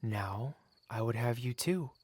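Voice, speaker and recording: A suave dragon in human guise , acted by a man, rich and possessive. He speaks in a mid-pitched voice with courtly, self-satisfied eloquence, as in an intimate ASMR roleplay. The sound is close and very dry. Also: animated, slow, darkish tone, slightly rough, dry recording. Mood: seductive. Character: wealthy possessive dragon merchant in human form, suave, covetous. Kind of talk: ASMR roleplay monologue, theatrical storytelling.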